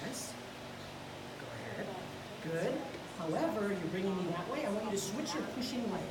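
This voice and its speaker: A woman's voice: medium pitch, lively intonation, moderate pace. A woman jiu-jitsu instructor coaching a student step by step while grappling on the mat. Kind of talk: hands-on technique teaching. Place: large indoor gym with mats. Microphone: camera far across the room. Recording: echoey gym from far off, noisy.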